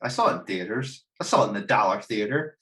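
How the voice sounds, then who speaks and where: A man hosting a casual group chat about pop culture, joking and quick to laugh. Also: lowish voice, lively intonation, a bit bright. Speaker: host of a gaming chat show.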